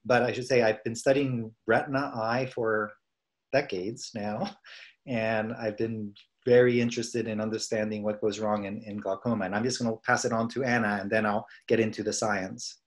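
A middle-aged man with an American accent, a scientist giving an informal, halting, conversational lecture over a video call. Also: on the low side, fairly even intonation. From United States, East Coast. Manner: halting, conversational, self-correcting. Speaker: professor and research scientist presenting.

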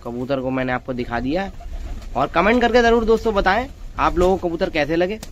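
A man's voice: fairly high pitch, animated, brisk, dull audio, a little hiss or hum. A man vlogging in an open courtyard, chatting casually to his viewers and calling them friends as he films from a phone. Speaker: pigeon-keeping vlogger. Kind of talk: casual show-and-tell narration. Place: open-air courtyard full of pigeons. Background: pigeons cooing and fluttering.

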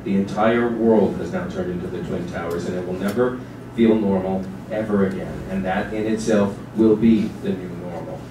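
Echoey, very low-voiced man reading dramatically. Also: fairly even intonation. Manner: measured, deliberate, literary delivery. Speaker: narrator in a staged dramatic reading. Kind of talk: dramatic scripted narration. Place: small theatre stage with seated audience. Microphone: distant camera microphone. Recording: echoey stage recording from far off, noisy.

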